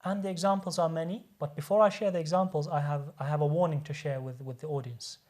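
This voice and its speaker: A man's voice: a little high, fairly even intonation, quick pace, a little mellow. A man who works as a doctor, speaking as a guest in a studio discussion and explaining his points earnestly, often repeating words as he restarts a sentence.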